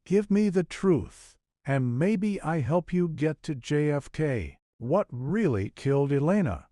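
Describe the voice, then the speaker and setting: A man's voice: on the high side, very animated, takes it slowly, mellow, no echo. Man acting as hardboiled noir detective, tough ex-cop, menacing growl, clipped questioning, dry close sound. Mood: pressing, demanding. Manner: growling, clipped threats and interrogation.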